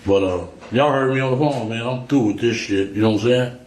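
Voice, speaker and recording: A man's voice: mid-range voice, brisk, a little mellow. Echoey, profane man under police questioning. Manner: profane, slangy, insistent. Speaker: suspect being questioned by police. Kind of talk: police interrogation, candid street talk. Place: small indoor police interview room. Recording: echoey interrogation room audio.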